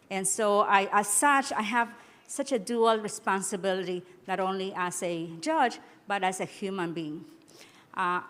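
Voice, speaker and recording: A woman from Ecuador, a judge, giving a conference talk in a halting manner with many restarts. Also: medium pitch, fairly bright tone. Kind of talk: conference speech, switching between English and Spanish. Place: large conference hall. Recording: reverberant conference hall.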